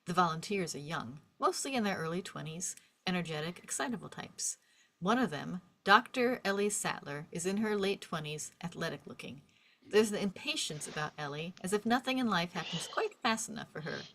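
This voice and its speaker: Lowish-voiced woman narrating a script. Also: expressive, a little fast, fairly bright tone. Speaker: narrator reading stage directions. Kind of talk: scripted narration at an informal table read.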